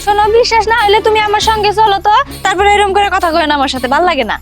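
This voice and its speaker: Earnest, pleading young wife, speech, high and fast, acted by a woman. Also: expressive, soft background music. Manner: pleading, insistent. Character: put-upon young village wife, earnest and insistent. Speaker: young wife, daughter-in-law.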